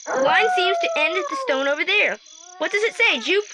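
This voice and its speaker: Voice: very high-pitched, huge pitch swings, brisk, full character voice. An actor playing a nervous but plucky boy detective in an audio drama, exclaiming and questioning. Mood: curious. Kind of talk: 1980s British audio drama. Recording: very noisy old tape.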